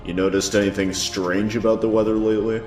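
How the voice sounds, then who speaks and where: A man's voice: on the low side, flat delivery, fast, a little mellow, lightly acted. A man playing a serious, watchful echidna guardian and father in a comic dub. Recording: echoey room, music underneath.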